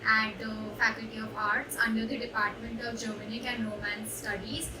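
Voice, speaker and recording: A woman's voice: on the high side, little pitch movement, normal speed, crowd noise. Young Indian woman, echoey distant recording. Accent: Indian English. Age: young adult. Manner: reflective, hesitant with many uh fillers. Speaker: student intern. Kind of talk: personal testimonial. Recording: echoey room, distant mic, background noise.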